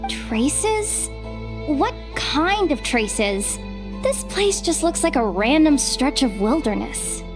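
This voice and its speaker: Slow, curious young companion. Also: female voice, high-pitched, very animated, exaggerated voice. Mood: puzzled. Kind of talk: video game voice acting. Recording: game audio with soft music.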